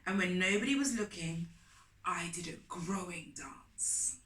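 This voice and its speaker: Lady reading a kids story aloud, slow and expressive, bright voice, echoey room. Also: lowish voice, a little hiss or hum. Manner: deliberate, emphatic phrasing for children. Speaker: children's storytime host and reader. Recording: roomy echo, distant microphone.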